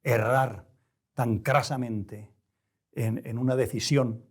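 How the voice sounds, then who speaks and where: An older man with a European Spanish accent speaking reflectively in an interview, deliberate and halting, choosing erudite words with care. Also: medium pitch, steady tone. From Spain. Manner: deliberate, halting, with erudite careful word choice. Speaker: interview guest, novelist.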